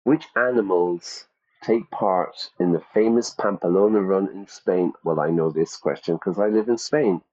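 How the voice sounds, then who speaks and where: A man's voice: low voice, a little slow, darkish tone, dull audio. A man teaching English to learners, reading out quiz questions and repeating the answer options. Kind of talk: ESL quiz lesson.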